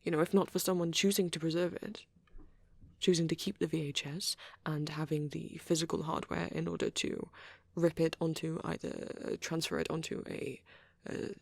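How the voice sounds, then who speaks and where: A man playing a shy young male vampire in an ASMR roleplay, rambling hesitantly and apologetically in a soft, breathy voice that often drops to a whisper. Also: a little high, level tone, no echo. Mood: flustered, earnest. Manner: hesitant, halting, rambling, self-correcting.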